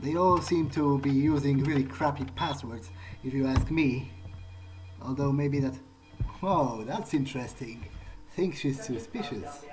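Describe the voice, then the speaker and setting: A man thinking aloud in character during a game playthrough, musing and halting as if talking to himself. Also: mid-range voice, noisy background. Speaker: gameplay video player.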